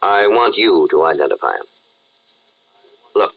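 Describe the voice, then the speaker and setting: An actor playing a brisk, gruff police inspector in a 1940s radio drama. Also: male voice, low voice, very animated, a little hiss or hum, heavily acted. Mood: brisk, businesslike. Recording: muffled vintage radio recording.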